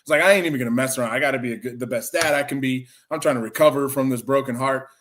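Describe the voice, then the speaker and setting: 40ish guy rambling on, loose chatty storytelling, regular pitch. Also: rapid-fire, fairly bright tone. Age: 40s. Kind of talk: casual chat, rambling storytelling.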